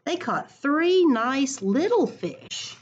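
A middle-aged woman reading a story aloud to children in a deliberate, expressive way, recorded on a computer at home. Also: sits high, dull audio. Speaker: school educator reading to children. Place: living room at home. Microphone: computer webcam at arm's length.

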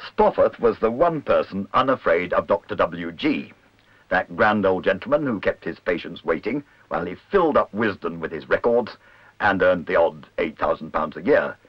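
A man reading scripted documentary narration in a measured, literary, wry manner. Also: sits low, lively intonation, slightly rough, no room sound. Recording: dull old film soundtrack, music behind.

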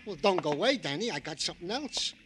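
A fussy, jokey police sergeant from an old radio crime show. The voice is high and bright for a man, with wordy mock-formal delivery over a noisy vintage recording. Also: big pitch swings, fast. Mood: reporting, wry. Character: chatty, jokey, doting police sergeant sidekick. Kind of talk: 1940s-50s radio acting.

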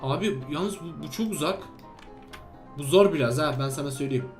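Gaming host, male, notably high voice. Exclamatory, bantering co-op commentary over light background music. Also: a little fast. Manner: bantering, exclamatory, repeats instructions. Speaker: gaming video host playing a character named Steve. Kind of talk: excited game commentary with a co-player.

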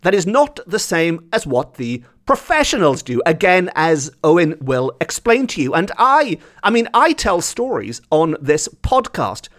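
A man's voice: fairly high pitch, very animated, unhurried, hardly any pauses, fairly bright tone. A man hosting a podcast in a casual, chatty, self-deprecating way. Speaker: podcast host.

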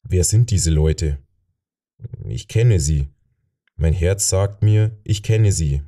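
A man narrating a fantasy audiobook in a measured, deliberate way. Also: sits low, dark tone. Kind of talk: scripted narration of a fantasy novel.

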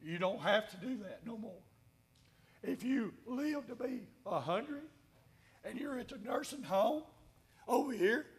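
A man's voice: extremely high pitch, expressive, unhurried, pauses often, slightly rough. A man preaching earnestly and plainly as a pastor, repeating phrases for emphasis. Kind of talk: evangelical sermon and church address. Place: large church sanctuary, indoors.

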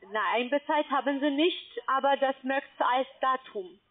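High-voiced woman on a tinny phone line. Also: a little slow. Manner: instructing step by step, broken grammar. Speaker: scam call center agent. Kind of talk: phone call.

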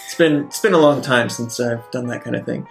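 A man's voice: mid-range voice, fairly quick, vocal fry, faint music behind. A man playing a tabletop roleplaying game, talking casually as he improvises his character.

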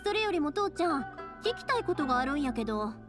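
Anime game daughter role: young, caring, Kansai accent, bright-spirited chatter, high and very varied pitch. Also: female voice, fast talker, over music. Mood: friendly. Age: young adult. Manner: expressive, friendly, chatty. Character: cheerful, caring young woman fireworks maker, devoted daughter.

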